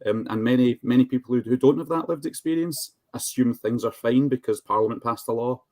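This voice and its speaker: A man speaking as a charity representative in a panel discussion, measured and articulate with hesitant pauses. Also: medium pitch, a little mellow, no echo.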